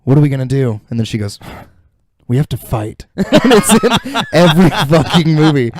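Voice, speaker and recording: A man's voice: a little high, big pitch swings, normal speed, a crowd in the background. A man co-hosting a podcast with casual banter, joking, teasing and swearing. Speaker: podcast co-host.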